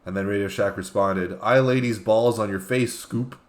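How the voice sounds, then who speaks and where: A man co-hosting a casual comedy talk show, phrasing things in a halting, deliberate way. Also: fairly low pitch, noisy background. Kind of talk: casual comedic chat, reading out tweets.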